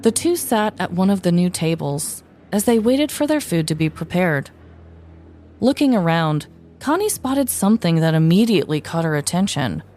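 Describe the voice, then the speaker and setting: Female podcast storyteller: slightly low voice, scripted and mock-dramatic narration over soft music. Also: sing-song, normal speed.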